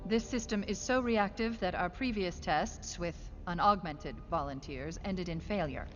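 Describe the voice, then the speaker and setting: A woman playing a composed, clinical military scientist with a measured, precise, authoritative delivery in an animated sci-fi film. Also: on the low side, lo-fi sound, soft background music, natural voice. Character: composed, clinical female military scientist briefing her soldiers.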